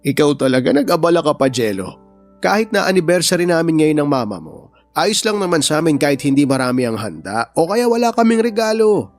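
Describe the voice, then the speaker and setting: Animated affectionate, joking father. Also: fairly high pitch, a little fast. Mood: tender, earnest. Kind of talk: Filipino family radio drama acting. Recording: faint music bed under voice.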